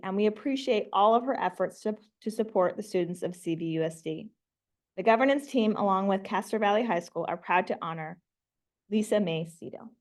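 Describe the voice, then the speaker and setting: A woman giving a formal, prepared tribute as an award presenter at a meeting. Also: mid-range voice. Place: meeting room, speaking at a microphone.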